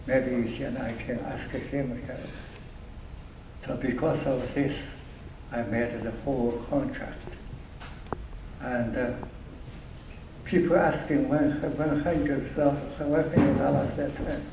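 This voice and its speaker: A man's voice: mid-range voice, steady tone, takes it slowly, creaky, pauses often. Older chinese-accented man, broken english, stops and repeats himself, distant echoey mic. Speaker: award honoree speaker. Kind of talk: speech with audience Q&A. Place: event hall. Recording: echoey room from far off, faint noise.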